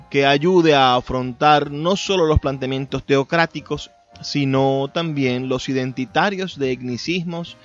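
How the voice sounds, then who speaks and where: Male radio host over music, dull sound. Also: medium pitch. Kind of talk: radio presenting, partly reading a text aloud. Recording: band-limited radio sound with music behind.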